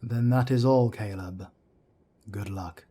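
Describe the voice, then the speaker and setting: A man plays a grave werewolf elder on the council, his voice very low and dark. He talks slowly and solemnly, with a husky edge to every line. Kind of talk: amateur audio drama acting.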